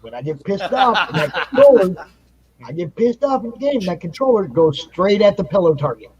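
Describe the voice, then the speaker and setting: A man's voice: sits high, big pitch swings, brisk, crowd noise. A man in his thirties on a casual panel chat, bantering playfully in slangy speech. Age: 30s. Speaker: convention panel guest. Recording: husky voice on a dull lo-fi mic.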